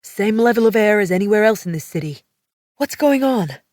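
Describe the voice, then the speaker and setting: Woman doing a curt young man, sarcastic, clipped, fairly low, dry close sound. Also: moderate pace, a little mellow, no room sound, recorded from a distance, lightly acted. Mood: exasperated. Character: blunt, brusque young man, exasperated then tender.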